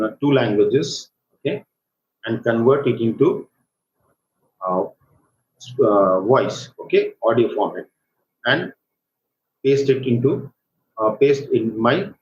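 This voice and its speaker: A man with an Indian accent gives an informal live software tutorial as an online instructor, speaking in a halting, hesitant way. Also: mid-range voice. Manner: halting, hesitant delivery with repeated check words. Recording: roomy echo, dull lo-fi microphone, faint noise.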